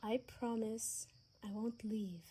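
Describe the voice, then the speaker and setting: Sheltered vampire daughter, played by a woman: gentle, young, unhurried and warm-toned, for audio roleplay. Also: fairly high pitch, fairly even intonation, breathy edge. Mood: gentle, resigned.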